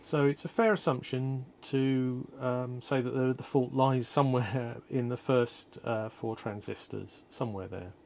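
A man calmly explaining an electronics repair at his workshop bench, step by step, with frequent ums and uhs. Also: mid-range voice, measured pace, creaky, hardly any pauses, slight background noise. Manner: calm, methodical, many ums and uhs. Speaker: electronics restorer. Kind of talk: technical repair walkthrough.

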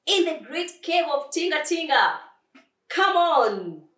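Woman acting a tiny, earnest flea, lively pitch. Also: unhurried, reverberant, distant mic. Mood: encouraging. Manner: pleading, encouraging. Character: tiny, earnest flea, loyal urging friend.

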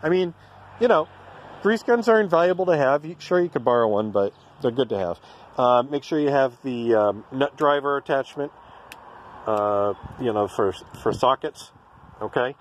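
A man's voice: medium pitch, normal speed, a little hiss or hum. Folksy man giving casual DIY tips. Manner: chatty, folksy, lots of ums and okays. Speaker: DIY video host. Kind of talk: casual how-to talk. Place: outdoors in a yard.

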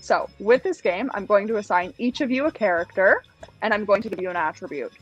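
A woman's voice: medium pitch, brisk, muffled recording. A young woman from Canada runs a silly game show over a video call. She talks in an upbeat, easy way and laughs often, with faint music underneath. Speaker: host. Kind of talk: playful video-call interview. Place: room at home.

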